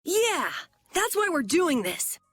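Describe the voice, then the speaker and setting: Brisk young girl for anime game dub: high, lively, bossy, quick to fluster; music underneath. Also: heavily acted. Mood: earnest.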